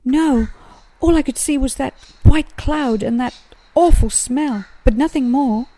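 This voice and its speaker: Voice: high-pitched, a little slow, mellow, voice pushed up, rough recording. A woman voicing a tiny, dainty female pygmy possum who calls out urgently in a children's storybook. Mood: breathless alarm. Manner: crying out urgently.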